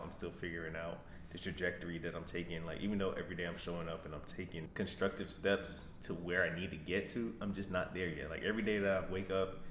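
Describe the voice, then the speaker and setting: A man in his mid-twenties, talking casually to camera as a vlogger, rambling and repeating himself in a relaxed way. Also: sits low. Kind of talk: casual vlog talk to camera. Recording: echoey room with background noise.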